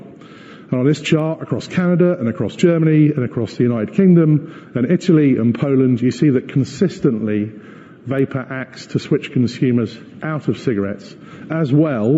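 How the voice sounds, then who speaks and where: Very dark-voiced man presenting in echoey hall. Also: mid-range voice. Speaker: company executive presenting results. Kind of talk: corporate presentation with slides. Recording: muffled echoey hall recording.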